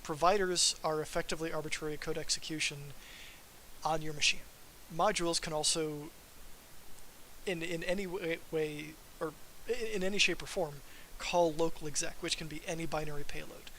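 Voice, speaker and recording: Male software presenter, forceful bright tone, informal and self-deprecating, faint hiss on the recording. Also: fairly high pitch, fairly even intonation, moderate pace, low-quality recording. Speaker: software developer presenting a live demo. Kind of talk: informal technical livestream presentation.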